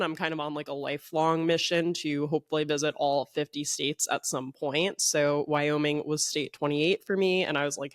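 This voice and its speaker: A man's voice: fairly high pitch, a bit bright, clean tone, no echo, studio quality. A young man hosting a podcast in casual solo chat. He sounds a little congested and talks in a rambling, chatty way, often saying "like". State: slightly congested. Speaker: podcast host.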